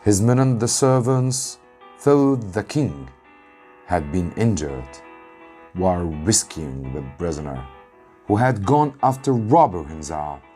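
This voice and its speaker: Slow, low-voiced man reading a story. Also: lively intonation, dark tone, soft background music. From Egypt. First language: Arabic. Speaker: English teacher reading aloud. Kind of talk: narrated storybook reading for students.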